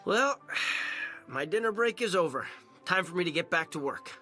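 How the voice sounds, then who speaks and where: A man's voice: high voice, animated, normal speed, pushed and bright, faint music behind. An actor playing an easygoing, whimsical, joking man with a breezy, playful delivery. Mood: kindly, weary. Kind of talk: acted audio drama dialogue.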